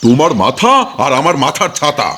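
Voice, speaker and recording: A man's voice: a little high, expressive, a little fast, fairly bright tone, slight background noise. A man playing a worried, testy old village father-in-law in a folk-tale cartoon, fussy and exasperated. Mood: irritated scolding.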